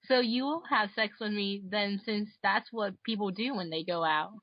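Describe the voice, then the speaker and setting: A woman's voice: medium pitch, normal speed, no echo, lightly acted. A woman playing a pushy, lovestruck teenage schoolgirl in an amateur fan fiction reading, on a muffled home recording. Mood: insistent.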